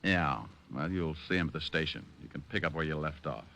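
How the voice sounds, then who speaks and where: A man playing a sharp, confident, needling private detective in old-time radio style, speaking in a punchy, wry way. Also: a bass voice, a little fast, very noisy. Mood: brisk, probing. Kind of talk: 1940s-50s radio detective acting.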